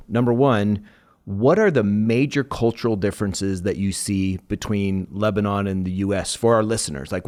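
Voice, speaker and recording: A man hosting a podcast interview in a somewhat low voice. He praises his guest with enthusiasm and builds his questions out at length before handing over the floor. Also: a little mellow. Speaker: podcast host.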